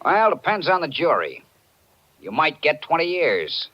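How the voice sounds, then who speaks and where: A matter-of-fact police detective in an old radio drama. He is a confident man with a slightly high voice and a knowing delivery, heard on a dull vintage recording. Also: huge pitch swings, a little slow, exaggerated voice. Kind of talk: 1940s radio acting. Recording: muffled 1940s radio recording.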